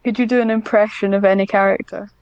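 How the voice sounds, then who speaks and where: A woman's voice: mid-range voice, fairly even intonation, fast, darkish tone, smooth, dry recording, dull audio. A woman chatting casually on a podcast as the interviewer, informal and a little hesitant. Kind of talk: casual chat.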